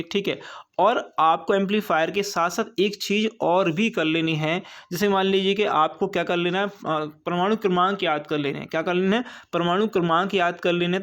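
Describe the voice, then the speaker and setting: High-pitched Indian man teaching exam prep. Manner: repetitive, asks and answers own questions, frequent check-in tags. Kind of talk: informal exam-prep lecture.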